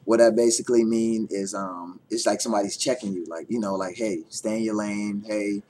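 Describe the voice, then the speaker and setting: Young Guyanese man, casual rambling vlog delivery, low-set voice. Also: fairly even intonation, a little fast, warm tone. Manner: rambling, with many fillers and repeated words. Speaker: vlogger. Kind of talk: casual chat answering tag questions.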